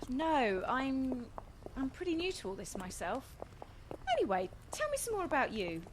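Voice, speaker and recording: A woman's voice: high-pitched, very animated, normal speed, heavy background noise. A woman playing a friendly, inquisitive woman, droll and easygoing, in a naturalistic radio play. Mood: friendly, curious.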